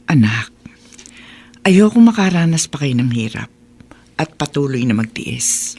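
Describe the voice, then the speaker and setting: A woman playing a caring but firm middle-aged mother in a radio drama, speaking in a measured, earnest way. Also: low-pitched, sing-song, darkish tone, slightly rough, heavily acted. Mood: concerned. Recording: music bed behind voice.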